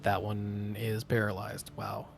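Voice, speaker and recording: A man running a tabletop game as game master, narrating the action casually and thinking aloud in halting phrases. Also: on the low side, completely flat delivery, creaky.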